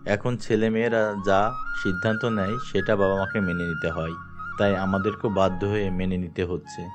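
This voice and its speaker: A man playing a demanding middle-aged father of the groom in a cartoon, speaking in a measured, formal way. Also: low voice, little pitch movement, close to an everyday voice. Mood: firm, demanding. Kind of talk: scripted cartoon dialogue. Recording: music behind the voice.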